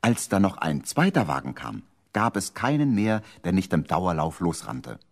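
An actor narrating a children's radio play as the storybook teller of a village tale. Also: male voice, low-pitched, very animated, normal speed, full character voice. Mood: lively storytelling.

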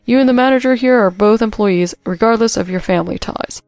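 A man in his fifties, a senior executive in an audio story, acted by a woman. He is blunt and grave, with creak and a dry sound over music. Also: on the high side, brisk, darkish tone, no room sound, lightly acted. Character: blunt, authoritative silver-haired male executive in his fifties.

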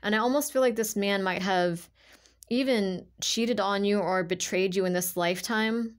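A woman speaking as a tarot reader in an informal, intuitive monologue, halting and emphatic, circling back to repeat herself and often saying "like". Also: mid-range voice. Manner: halting, repetitive, emphatic, filler-heavy with "like".

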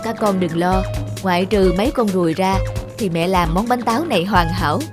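A firm and caring mother from an animated moral tale, acted by a woman. She explains things in a measured, motherly way at a middling pitch, with music playing clearly behind her. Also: natural voice. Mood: gently persuasive. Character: firm, caring mother of two young daughters. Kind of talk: animated cartoon dubbing, clear instructive dialogue.

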